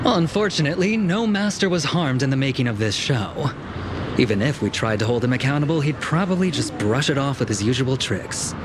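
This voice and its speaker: A man playing a composed, sardonic, calculating businessman in a video game, speaking smoothly and with measured wryness. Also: mid-range voice, lively intonation, noisy background, lightly acted. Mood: wry. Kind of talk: voiced game dialogue.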